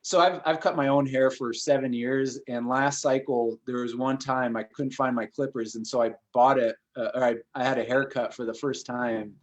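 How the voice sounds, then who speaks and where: A man of forty hosting a casual interview, talking haltingly with many restarts. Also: medium pitch, steady tone, muffled recording. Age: 40s. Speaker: host.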